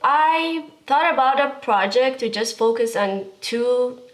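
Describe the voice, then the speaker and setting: A woman's voice: on the high side, takes it slowly. A young woman from the Kurdistan region of Iran, speaking as a visual artist being interviewed in an art studio, hesitant and searching for words with many fillers. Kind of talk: casual interview.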